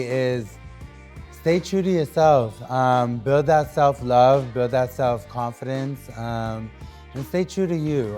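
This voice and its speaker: A man's voice: medium pitch, darkish tone. A young man from New Hampshire talking loosely as a guest on a podcast, with lots of fillers and restarts. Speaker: guest artist. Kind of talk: casual podcast interview.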